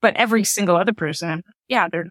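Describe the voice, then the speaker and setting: A woman's voice: lowish voice, fast talker, fairly bright tone, no room sound. Woman guest in a close, dry recording. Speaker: guest, audio drama creator. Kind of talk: casual interview conversation.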